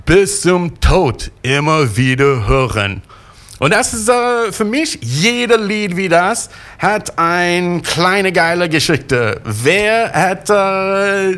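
A radio host with an English accent chats in slow, halting German, hesitating often but clearly enthusiastic. His voice sits high for a man, and he takes his time with each phrase. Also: fairly bright tone. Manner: rambling, enthusiastic, non-native German grammar with many hesitations. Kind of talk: casual radio show sign-off.

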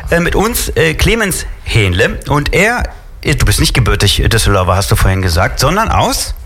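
A man's voice: medium pitch, very animated, normal speed, a bit bright, husky. A man hosting a talk show, asking questions and joking around. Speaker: host. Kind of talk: casual chat.

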